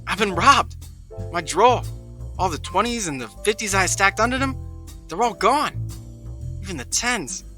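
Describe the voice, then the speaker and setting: An actor playing a flustered, agitated, excitable corner-store clerk in a satirical 1940s audio play. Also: male voice, sits high, moderate pace, pauses often, faint music behind. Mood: distraught.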